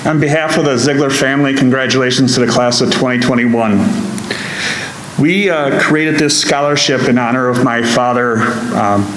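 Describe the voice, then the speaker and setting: Mid-pitched man in a very echoey room. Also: normal speed. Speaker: scholarship presenter. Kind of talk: ceremony speech. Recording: echoey room, faint hiss.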